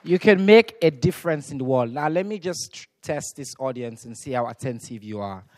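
A man's voice: mid-range voice, lively intonation, normal speed, a little mellow, no echo. A man from Sierra Leone moderating a youth forum, rousing the crowd and calling out questions to the room. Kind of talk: energetic audience moderation.

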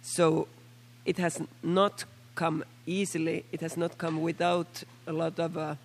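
Finnish woman MP, hoarse and gravelly, fairly high pitch but a flat monotone delivery, halting with fillers. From Finland. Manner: halting, with many fillers and restarts. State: hoarse from a flu. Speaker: member of parliament. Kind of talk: formal floor statement at a panel meeting.

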